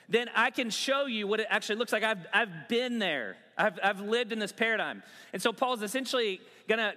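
Bright high-voiced man preaching in a hall. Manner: conversational preaching with pop-culture asides. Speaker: pastor. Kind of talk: sermon.

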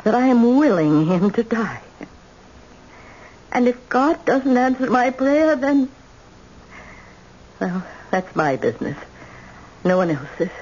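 A woman's voice: fairly high pitch, sing-song, takes it slowly, long pauses, a little mellow. Tough, imperious old grandmother, dull noisy radio sound. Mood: pleading, brooding. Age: older. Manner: imperious, emphatic. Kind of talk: old-time radio drama acting.

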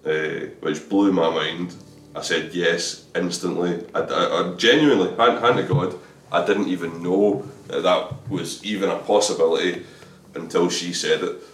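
A very deep-voiced Scottish man talks openly about his life as a drummer, repeating words as he gathers his thoughts. He sits some way from the mic in a reverberant room, with a faint hiss behind him. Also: fairly even intonation, a little slow, hardly any pauses. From Scotland. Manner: stammering restarts, candid and chatty. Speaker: drummer guest. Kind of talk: casual interview chat. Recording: echoey room from a distance.

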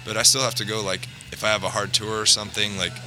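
Bright-voiced low-pitched man chatting. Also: little pitch movement, quick pace, soft background music, poor audio. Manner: chatty, explains at length. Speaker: guest musician, singer. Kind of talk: casual chat. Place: restaurant.

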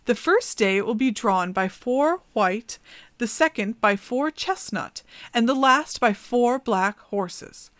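Brief: enchanted raven princess, lilting and high, lively rise and fall, a woman reading it aloud, dry sound. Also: takes it slowly, no room sound. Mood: earnest. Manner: lilting, higher put-on voice.

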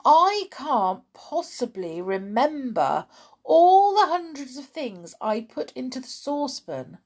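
A woman's voice: high voice, very animated, takes it slowly, darkish tone, voice pushed up, heavily acted. A woman voicing a sensible, hesitant little boy in a children's story, questioning and pleading to be heard. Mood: worried, pleading.

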